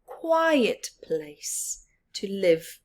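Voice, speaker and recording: A woman's voice: mid-range voice, sing-song, extremely slow, full character voice. A woman voicing a polite, eager and easily scared city fox in a children's storybook. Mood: polite, curious.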